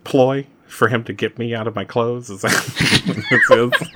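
A man's voice: mid-range voice, fairly even intonation, a little slow, heavy background noise. A man playing a tabletop roleplaying game, chatting casually and improvising with a joking, mock-dramatic manner. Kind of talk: casual chat and improvised play.

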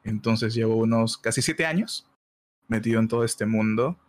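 A man's voice: lowish voice, normal speed. A man in his early twenties from Peru, with a Peruvian Spanish accent touched by Mexican speech, chatting casually as a guest and laughing often. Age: 20s. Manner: laid-back, laughs often, uses slang. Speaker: guest, illustrator. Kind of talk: casual chat on a stream.

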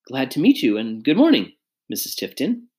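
A courteous, steady father from a storybook, as a woman reader plays him. He speaks firmly but kindly, with expressive movement in his pitch. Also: moderate pace, exaggerated voice. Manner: polite, firm, kindly.

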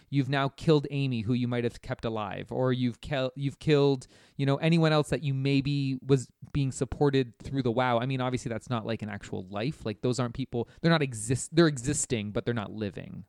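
A man's voice: mid-range voice, fairly quick, hardly any pauses, a little mellow, smooth, dry recording, studio quality. A man co-hosting a podcast, talking casually and reflectively, posing questions and checking for agreement. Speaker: podcast co-host.

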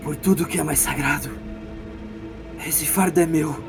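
A Brazilian man playing an anguished, stubborn hero burdened by fate, with halting, pleading and emphatic lines in a role-playing audio drama. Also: fairly high pitch, fairly even intonation, gravelly, music in the background.

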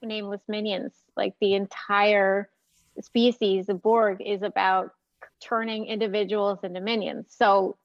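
A woman giving her opinion in a casual discussion, explaining her points in a measured, analytical way. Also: mid-range voice, level tone, dry recording. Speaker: podcast panelist. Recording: dull, dry lo-fi audio.

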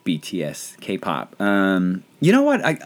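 Animated rambling Filipino man. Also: deep voice, slow. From Philippines. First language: not English. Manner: rambling, self-deprecating, animated. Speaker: podcast host. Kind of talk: casual chat.

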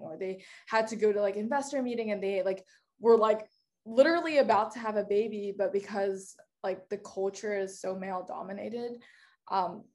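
A woman's voice: medium pitch, darkish tone. A woman interviewer chatting casually, using "like" constantly as she shares what she has heard. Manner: casual, full of "like" fillers.

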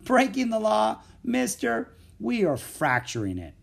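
A man voicing a cocky, bossy hijacker in a storybook, with forceful, smug delivery. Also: medium pitch, sing-song, normal speed, breathy edge.

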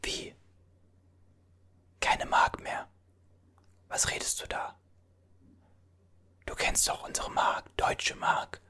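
A performer whispering as a bewildered time traveler from an older era, puzzling over strange objects in a halting voice full of restarts. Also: sharp tone. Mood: puzzled. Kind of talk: whispered ASMR roleplay.

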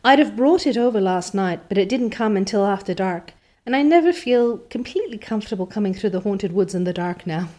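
A woman's voice: mid-range voice, animated, fairly quick, warm tone, lightly acted. Woman doing a breathless eager young girl. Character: excited young girl friend.

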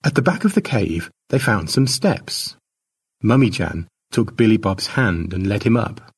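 A man with a low, husky voice reads fiction aloud like a practised storyteller. His intonation rises and falls a great deal, giving the narration a dramatic shape. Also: expressive, a little slow. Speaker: author narrating his own short story.

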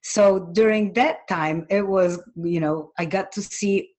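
Female interview guest from Poland, native Polish speaker, medium pitch, earnest and reflective. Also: takes it slowly, a little mellow. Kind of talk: reflective personal storytelling.

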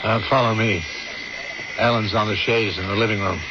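A man's voice: on the low side, fairly even intonation, normal speed, natural voice. An actor playing a worried middle-aged father in an old radio drama, terse and plain-spoken. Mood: worried, courteous. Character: curt, worried father of an ailing daughter. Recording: dull, heavily noisy old radio recording.

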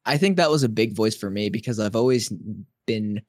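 A man co-hosting a podcast in casual chat, keeping his naturally high voice pitched lower and thinking aloud in long, reflective sentences. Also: a little fast, no room sound, studio quality. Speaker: podcast co-host.

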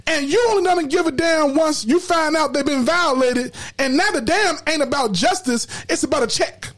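A woman's voice: high-pitched, moderate pace. A woman hosting a podcast in casual, colloquial talk, emphatic and preachy, repeating words when fired up. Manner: colloquial slang. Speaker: podcast host.

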